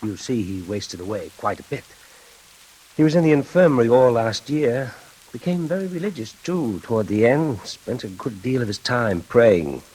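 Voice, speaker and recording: An actor playing a patient, reassuring and authoritative prison warden in an old-time radio drama, with a measured, avuncular manner. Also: male voice, mid-range voice, animated, a little mellow, breathy edge, rough recording. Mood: grave, gentle.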